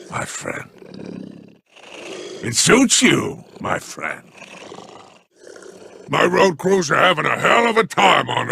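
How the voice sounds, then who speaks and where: An actor playing a sly alien spider crime lord in a video game, with a growling, gravelly voice and an oily, mock-courteous menace. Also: male voice, mid-range voice, sharp tone, a little hiss or hum, heavily acted. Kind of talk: video game character voice lines.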